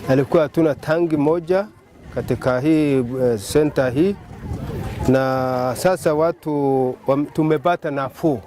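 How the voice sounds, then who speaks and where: A man with an East African accent gives an unscripted testimonial in the open air. His voice is a little high for a man. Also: moderate pace. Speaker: project beneficiary.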